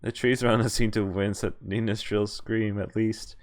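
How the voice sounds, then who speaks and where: Low-voiced man reading a game aloud. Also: flat delivery, normal speed. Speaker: streamer playing and reading a visual novel. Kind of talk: reading game text aloud with casual reactions.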